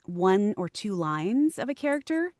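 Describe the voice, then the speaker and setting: A woman voiceover actress explains her work in a relaxed podcast chat. She pauses to think with frequent ums. Her voice is middle in pitch, and the recording is close and dry. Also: dry recording. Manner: thoughtful, many ums, light laughs. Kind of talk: casual interview chat.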